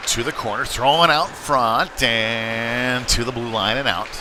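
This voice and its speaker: A man with a mid-pitched voice calls a live hockey game from the rink. He talks casually, with hesitant, folksy asides mixed into the play-by-play. Clear venue noise runs behind his voice. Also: unhurried. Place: ice rink, live stream broadcast.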